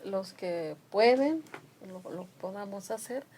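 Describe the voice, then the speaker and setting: Older woman, animated radio guest. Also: medium pitch, takes it slowly, heavy background noise. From Mixe region of Oaxaca, Mexico. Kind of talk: conversational radio talk.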